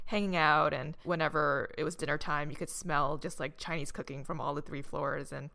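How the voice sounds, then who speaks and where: A young woman co-hosting a podcast, telling family memories in an animated, chatty way with frequent "like". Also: deep voice, normal speed, gravelly. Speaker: podcast co-host. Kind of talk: casual chat.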